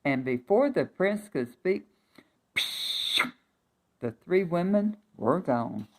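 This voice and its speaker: A woman reading a fairy tale aloud for young children, expressive, with chatty asides and emphatic repetition. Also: lowish voice, slow.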